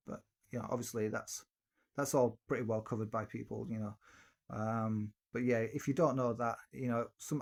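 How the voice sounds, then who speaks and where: Man, casual tutorial, rambling, fillers and restarts, handheld mic, mid pitch. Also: darkish tone. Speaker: video host giving singing mic tips. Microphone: handheld dynamic mic, tilted.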